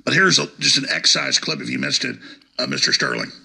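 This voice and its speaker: Very gravelly, raspy man. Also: mid-range voice, huge pitch swings, fairly quick, bright, forceful tone. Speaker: show host. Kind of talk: commentary.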